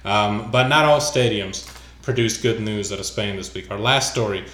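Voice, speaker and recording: A man's voice: lowish voice, moderate pace, fairly bright tone, echoey room. A man hosting a casual sports podcast, reading news items aloud with loose asides. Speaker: podcast host.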